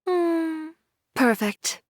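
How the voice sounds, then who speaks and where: An actress playing a stern, self-assured young fashion designer in a video game, composed and blunt. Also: female voice, very high voice, lively intonation, drawn-out words, no room sound, studio quality, heavily acted. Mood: calm, dry.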